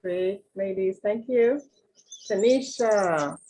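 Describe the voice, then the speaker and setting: A woman co-hosting an online youth group, briskly calling on each speaker and thanking them. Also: medium pitch, mellow, a little hiss or hum, poor audio.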